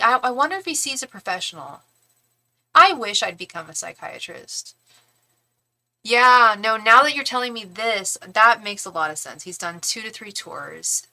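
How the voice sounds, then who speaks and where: A woman's voice: fairly high pitch, animated, moderate pace, bright, forceful tone, poor audio. A young woman doing a casual live-stream tarot reading, chatty and rambling as she talks straight to her viewers. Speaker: tarot reader and psychic.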